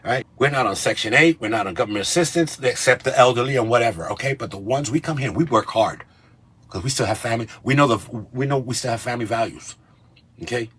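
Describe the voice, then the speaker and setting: A man's voice: medium pitch, quick pace, pushed and bright, husky. A man in his late fifties, Cuban-born with a Spanish accent, lecturing in a confrontational video rant and tagging lines with "okay?". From Cuba. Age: 50s. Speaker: commentator in a clip.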